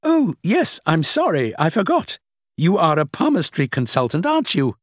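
A man voicing a sharp, skeptical older woman who lectures and scoffs, in an audiobook reading. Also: a little high, wildly swooping pitch, moderate pace, no echo, clean studio sound, voice pushed up. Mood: scornful. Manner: scornful, lecturing, emphatic.

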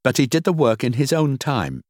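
A man's voice: mid-range voice, big pitch swings, normal speed, darkish tone, dry recording, clean studio sound, pitched above the performer's own voice. A man voicing an earnest, determined young woman employee in an audiobook, plain and insistent. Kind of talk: audiobook character acting.

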